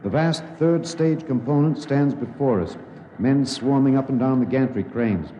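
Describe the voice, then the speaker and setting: An American man playing an earnest radio newsman, reporting gravely and formally in a 1950s radio drama. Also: mid-range voice, normal speed, mellow, lightly acted. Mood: urgent, formal. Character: earnest network radio newsman narrating a space rescue. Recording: faintly noisy 1950s radio recording.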